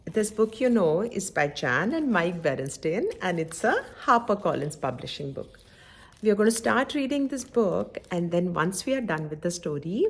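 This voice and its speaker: A woman's voice: on the low side, husky. A woman reads a children's picture book with lots of rise and fall in her voice. She takes her time, steps out of the story to explain words like a teacher, and checks that young listeners follow. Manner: teacherly, checks understanding with simple questions and tags.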